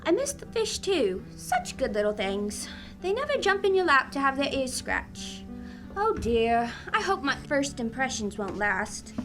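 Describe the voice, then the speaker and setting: A playful, theatrical wife with quick wit and affection, acted by a woman in a radio comedy. Her voice is high and swings widely in pitch. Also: big pitch swings, music in the background. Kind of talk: radio drama acting.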